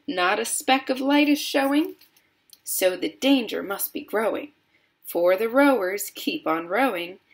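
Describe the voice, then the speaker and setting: A woman voicing an eccentric, excitable, gleeful man in a children's storybook, in an exclamatory, theatrical manner. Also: sits high, creaky, pitched above the performer's own voice, heavily acted.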